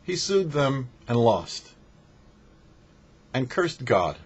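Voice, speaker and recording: A man's voice: medium pitch, very animated, very slow, breathy edge. A man speaking as a rabbi giving a short religious lesson, teaching in an emphatic, didactic way with rhetorical questions. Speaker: rabbi hosting a weekly Torah portion show. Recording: dull lo-fi audio with faint noise.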